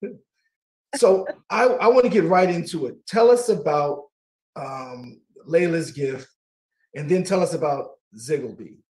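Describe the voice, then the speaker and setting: A middle-aged man hosting a casual talk radio show, warm and laughing easily, often repeating his words. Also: fairly high pitch, expressive, unhurried, rough recording.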